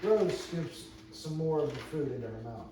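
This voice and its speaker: A man's voice: fairly high pitch, lively intonation, normal speed. A man reading stage directions aloud at an amateur table read, plainly and with some stumbles over phrases. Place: indoor room with a few other readers. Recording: echoey room, distant mic, noisy.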